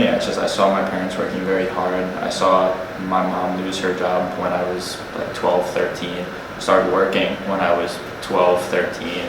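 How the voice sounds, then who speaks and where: A young man, co-founder of a student nonprofit, answering interview questions in an earnest, reflective way. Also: sits low. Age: young adult. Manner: earnest, reflective, speaks in long building sentences. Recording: echoey room, distant mic, noisy.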